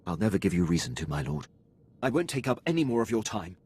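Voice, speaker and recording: An actor playing a dutiful, loyal military officer in a video game, speaking formally and with precise, clipped diction. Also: male voice, fairly low pitch, sing-song, quick pace, darkish tone, slightly rough. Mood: earnest, resolute. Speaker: starship captain serving a lord.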